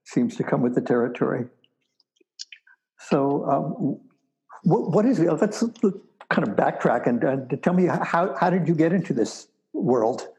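A man hosts an interview, prompting his guest with relaxed, easygoing questions. His voice sits on the higher side for a man, and he keeps the conversation casual. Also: a little slow, rough recording. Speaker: interviewer, host.

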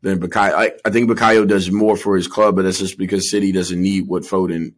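Low-voiced man talking sports casually. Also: steady tone, brisk. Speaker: podcast co-host.

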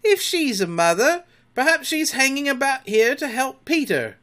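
Man doing an eager, high-pitched pirate underling. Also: moderate pace, full character voice. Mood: uneasy. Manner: excitable, anxious to please. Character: eager, deferential pirate boatswain and crewmate.

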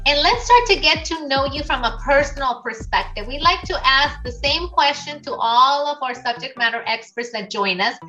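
Woman host, middle-aged, high voice, formal read-out welcome, heard from a distance over a video call. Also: expressive, normal speed, hardly any pauses, sharp tone, muffled recording, faint music behind. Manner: formal, reads a prepared welcome. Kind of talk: scripted introduction and interview questions.

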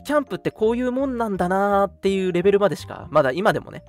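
A young man chats casually as a radio co-host over background music. He talks quickly and his pitch jumps about a lot. He is agreeable and chatty, often echoing his partner. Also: big pitch swings. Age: young adult. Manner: agreeable, chatty, frequent backchannels. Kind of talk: casual chat. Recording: music bed under the talk.

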